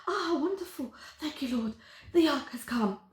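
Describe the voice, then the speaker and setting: Storybook girl, played by a woman: prim, mischievous, high and shrieky. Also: slow pace, roomy sound, heavily acted. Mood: excited. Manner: shrieking, excited. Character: mischievous good little girl, high-pitched.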